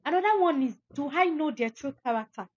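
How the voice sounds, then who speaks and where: A woman's voice: high voice, expressive, a little fast, no room sound, lo-fi sound. A young woman podcast host, giving emphatic, preachy advice to listeners and repeating phrases for stress. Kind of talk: advice talk, addressing listeners.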